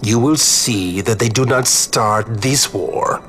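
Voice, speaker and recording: An actor playing a suave nobleman in a video game, speaking in an eloquent, formal and measured way. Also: medium pitch, a bit bright, soft background music. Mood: earnest, courtly. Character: suave, courtly male nobleman, earnest and wry. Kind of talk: video game cutscene acting.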